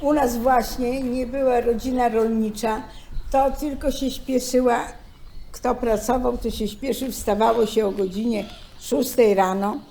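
Senior woman, highish voice, casual chatty reminiscence. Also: steady tone, measured pace, creaky, warm tone, slight background noise. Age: 60s or older. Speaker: senior sharing memories.

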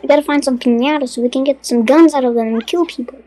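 A woman's voice: sits high, quick pace, lightly acted. A woman voicing a young, puzzled cartoon character who keeps questioning things in an improvised game fandub. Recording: game music behind.

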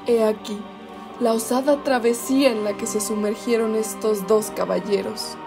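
A woman's voice: on the high side, steady tone, normal speed, gravelly, over music. A woman voicing a solemn ghostly female narrator in a student radio drama.

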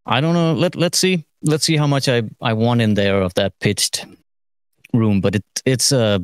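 A middle-aged man thinking aloud through an informal studio tutorial, halting and musing, with trailing phrases. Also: medium pitch, smooth, no room sound. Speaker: mixing engineer giving a lesson. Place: recording studio, seated at desk.

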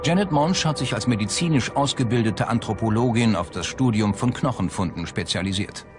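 A man's voice: lowish voice, fairly quick. A man reading scripted documentary narration as the narrator. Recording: music bed under the voice. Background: background music.

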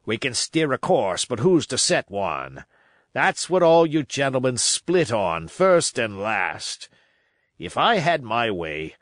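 A man's voice: mid-range voice, no echo, studio quality. A man reading a storybook, voicing a shrewd, domineering pirate sea cook with salty, emphatic sailor talk.

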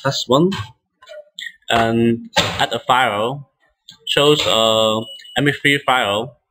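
Bright-voiced man giving a halting software tutorial. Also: medium pitch, rough recording. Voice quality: non-native English speaker. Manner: halting, hesitant phrasing with frequent restarts. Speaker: instructor demonstrating software.

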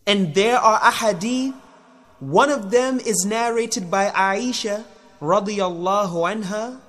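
A man reads religious text as a scripted audiobook. His voice is high for a man, and he goes very slowly, with a measured, solemn delivery. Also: fairly bright tone. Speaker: narrator of translations and hadith.

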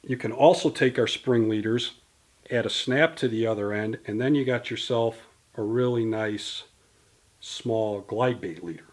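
A man's voice: fairly low pitch, measured pace. Man, fifties, tackle company guest; detailed, explanatory delivery, filmed on a camera mic in a small indoor studio. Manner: detailed, explanatory, gesturing with products in hand. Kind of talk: product explanation interview. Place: indoor studio in front of a banner.

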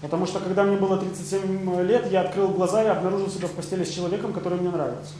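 High-pitched man interpreting, very echoey. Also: level tone, very fast, over music. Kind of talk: consecutive interpreting at a seminar. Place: seminar room with seated audience. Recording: very echoey room, noisy.

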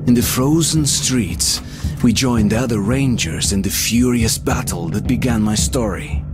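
A man's voice: mid-range voice, measured pace, lightly acted. A man playing a somber young soldier who narrates his own war story in a video game. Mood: somber, reflective. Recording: voice under very loud music.

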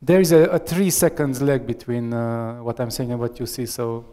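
A man in his thirties with a Romanian accent gives a live software demo on a headset mic, hesitant and full of fillers. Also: mid-range voice, steady tone, moderate pace. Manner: hesitant, many fillers and restarts. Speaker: software architect giving a demo. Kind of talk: live tech demo presentation. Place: large conference room with audience. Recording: long reverb of a large hall.

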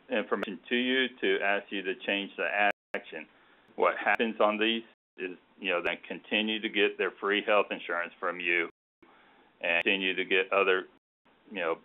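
Low-voiced man on a phone line. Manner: halting, frequent hesitations. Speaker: presenter on an HR payroll conference call. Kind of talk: informal explanatory webinar presentation. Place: conference call by phone. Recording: narrowband tinny phone line.

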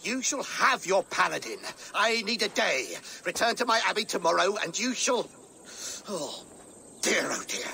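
An actor playing a pious, anxious older abbot who is easily flustered, in a video game. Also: male voice, sits high, big pitch swings, normal speed, gravelly, bright, forceful tone, a little hiss or hum, exaggerated voice. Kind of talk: dramatic video game acting.

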